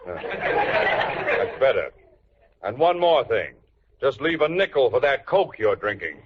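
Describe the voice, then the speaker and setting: An actor playing a bossy older banker and husband in a vintage radio comedy, pompous and blustering. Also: male voice, mid-range voice, big pitch swings, slow. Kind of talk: 1940s-50s radio sitcom acting. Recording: muffled old radio recording, faint hiss.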